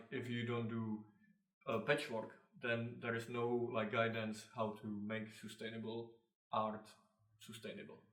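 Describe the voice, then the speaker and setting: A young man with a Czech accent, a designer answering interview questions casually, halting often with fillers and restarts. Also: lowish voice. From Czech Republic. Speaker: designer, co-founder of a clothing brand. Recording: echoey room, mic at a distance.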